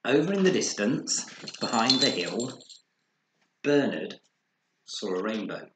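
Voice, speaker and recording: A man narrating a children's story with expressive, dramatized delivery, recorded in an echoey room. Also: mid-range voice, slow. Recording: echoey room with faint noise.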